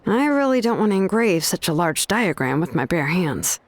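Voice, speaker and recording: A woman's voice: lowish voice, sing-song, moderate pace, a little hiss or hum. A woman playing a laid-back but exacting young craftswoman in a video game, explaining things in a casual, matter-of-fact way.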